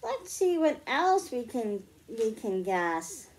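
Slow, high-voiced woman reading to kids. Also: big pitch swings. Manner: slow, clear, gently explaining to young children. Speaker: children's librarian. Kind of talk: storytime read-aloud for kids. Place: home room with bookshelves.

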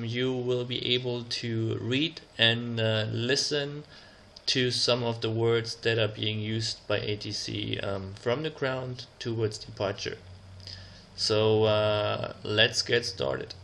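Slow, creaky-voiced male instructor. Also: mid-range voice, level tone, sharp tone, a little hiss or hum. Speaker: instructor presenting an air traffic control phraseology tutorial. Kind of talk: slide narration, reading out example radio instructions.

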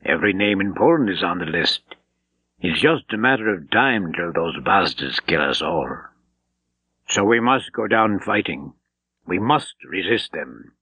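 A man's voice: low voice, lively intonation, a little slow, pushed and bright, slightly rough, no room sound, full character voice. A man voicing an urgent, earnest, weary eighteen-year-old resistance fighter in an audiobook. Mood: defiant. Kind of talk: audiobook character dialogue. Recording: dull, slightly lo-fi tape recording.

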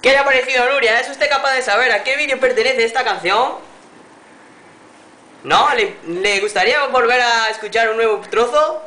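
Young guy doing fake radio host voice, super polite, high and bright, echoey hotel room. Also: normal speed, slight background noise. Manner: formal, overly polite announcer tone. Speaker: prank caller posing as radio host. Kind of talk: mock radio contest patter.

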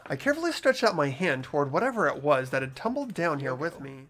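A man's voice: a little high, big pitch swings, quick pace, fairly bright tone. A man hosting a game playthrough, reading narration and chatting casually with friends.

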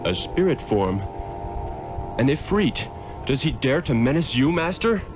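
An actor playing an earnest, resolute sorcerer hero in a 1960s radio drama, with formal, declamatory delivery. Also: male voice, medium pitch, very animated, unhurried, slight background noise. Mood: concerned. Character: earnest, resolute adult male sorcerer hero, devoted pupil.

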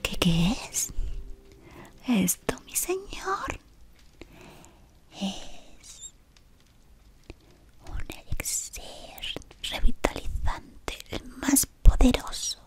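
A devoted, fawning servant of a dark lord, whispered by a young woman right up against the mic. The slow, hushed delivery still rises and dips in a lively way, deferential and eager to please. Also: high-pitched, full character voice. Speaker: ASMR roleplay creator. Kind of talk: whispered ASMR roleplay. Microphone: close sensitive mic at face level.

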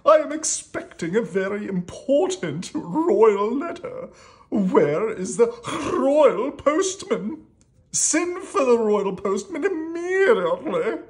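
A woman voicing an excitable, pompous king in a children's storybook, with theatrical, drawn-out exclamations. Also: on the high side, pitched above the performer's own voice. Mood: indignant, demanding.

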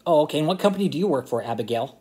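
A man's voice: on the high side, animated, very fast, darkish tone. A man in his thirties, talking on a phone call in a polite, hesitant way, recorded close up indoors at home. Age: 30s. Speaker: scam-baiter vlogger. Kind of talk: phone conversation with asides to camera. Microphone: phone or laptop camera close in front.

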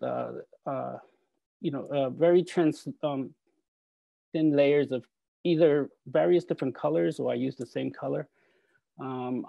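A man in his forties from El Salvador, an artist talking over a video call from his studio, halting with many fillers and restarts. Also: medium pitch, creaky, dark tone, dry recording. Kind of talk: video call talk with slides. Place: home art studio. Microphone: earphone mic on video call.

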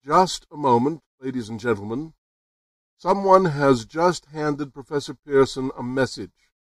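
A man's voice: mid-range voice, a little slow, dry recording, distant mic, close to an everyday voice. A man acts out an earnest radio news reporter in an old-time radio drama. He announces formally, as if live on location. The sound is dry and a little away from the microphone. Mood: formal, announcing. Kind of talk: 1930s radio drama acting, live broadcast commentary.

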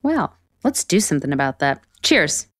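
A woman's voice: sits low, normal speed, dry recording. An actress playing a sarcastic, easily exasperated woman author in a romantic comedy audio drama. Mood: wry, evasive. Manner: sarcastic, excitable.

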